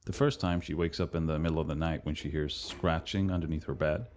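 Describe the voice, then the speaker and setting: A man giving a director's commentary, explaining things in a measured, matter-of-fact way. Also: rumbling low, steady tone, a little mellow.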